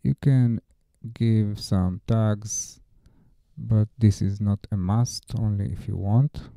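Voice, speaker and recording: A man gives a scripted how-to narration at a very slow pace. His voice sits on the low side and has a heavy creak. He moves plainly from one step to the next. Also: steady tone, dark tone, dry recording, clean studio sound. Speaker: DIY tutorial narrator. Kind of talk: scripted instructional voice-over.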